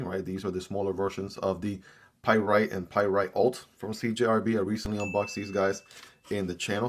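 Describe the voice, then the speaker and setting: A man's voice: lowish voice, a little hiss or hum. A man whose first language is Spanish, doing a casual, upbeat unboxing review and often tagging "right?" onto his sentences. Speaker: product reviewer.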